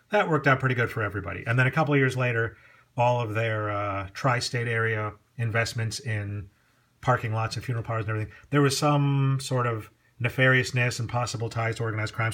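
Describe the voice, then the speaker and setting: Chatty man nerding out, casual commentary. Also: lowish voice. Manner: chatty, digressive, knowledgeable music enthusiast. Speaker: record collector presenting a music vlog. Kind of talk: casual off-the-cuff explanatory commentary.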